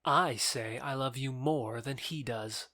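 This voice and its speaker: A man's voice: mid-range voice, slow pace, bright, forceful tone, no echo, studio quality. Man acting taunting young rival lover. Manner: challenging, sneering. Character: proud, taunting young male rival in love. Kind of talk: modernized Shakespeare audio drama acting.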